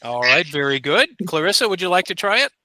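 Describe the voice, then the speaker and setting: Male ESL teacher, lively, high, bright; patient, models phrases slowly. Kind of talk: encouraging classroom instruction.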